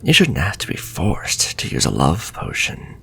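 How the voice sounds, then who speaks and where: A man playing a suave, flirtatious, sinister warlock shopkeeper in a fantasy ASMR roleplay, speaking softly and courteously and drifting into breathy whispers. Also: sits low, wildly swooping pitch, measured pace, exaggerated voice. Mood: flirtatious, coaxing.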